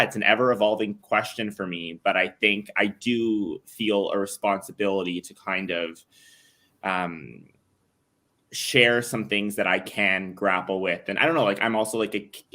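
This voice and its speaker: Young man, bright and searching. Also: on the low side. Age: 20s. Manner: searching, full of "like". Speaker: panelist. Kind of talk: casual panel discussion.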